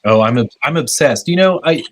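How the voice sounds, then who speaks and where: A man's voice: medium pitch, animated, brisk, slight background noise. A man talking at length in a casual podcast discussion, rambling with many restarts.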